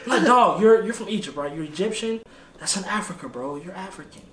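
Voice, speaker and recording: Animated, high-voiced American man, echoey. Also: brisk. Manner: animated, slangy. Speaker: comedic storyteller. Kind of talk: casual comic banter and storytelling. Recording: echoey room with clear background noise.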